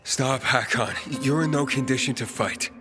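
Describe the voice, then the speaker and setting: A man's voice: medium pitch, normal speed, close to an everyday voice. An actor playing an earnest, principled young male survivor with an insistent, probing way of talking, in a video game cutscene. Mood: pleading. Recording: music under the voice.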